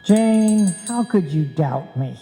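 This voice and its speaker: Long-suffering comedy husband, played by a woman: dry, measured, low-ish mellow voice in a reverberant hall. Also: expressive, faint music behind. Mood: mock offended. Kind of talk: old-time radio comedy acting.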